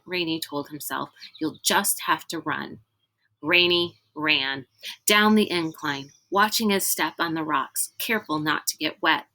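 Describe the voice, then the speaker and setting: Woman, slightly low voice, crisp bright edge, expressive read-aloud, dramatic children's fiction narration. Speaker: reader reading a book aloud.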